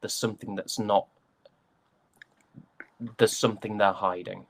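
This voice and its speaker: A man from the UK speaking reflectively as an interview guest, thoughtful and hesitant, often pausing mid-thought. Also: fairly low pitch, little pitch movement, creaky, a bit bright. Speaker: interviewed stage and voice actor.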